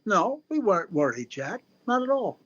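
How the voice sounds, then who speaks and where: An actor playing a polite, upbeat master of ceremonies in 1930s radio show style, on a slightly dull recording. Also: male voice, high voice, very animated, normal speed. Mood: polite, reassuring. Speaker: show host.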